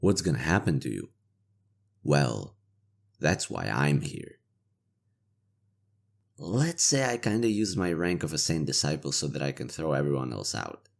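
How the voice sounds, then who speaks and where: A man playing a cynical, world-weary imperial knight interrogator with a conscience, in a roleplay audio drama, gravelly, sardonic and given to swearing. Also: very deep voice, big pitch swings, unhurried, pauses often, full character voice. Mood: guarded, conflicted.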